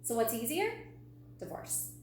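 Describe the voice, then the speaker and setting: A woman's voice: a little high, very animated, measured pace. Lady podcast cohost, coach type, emphatic, lots of rhetorical questions, roomy echo w a bit of hum. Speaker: podcast co-host and relationship coach. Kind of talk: conversational podcast discussion. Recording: echoey room, faint hum.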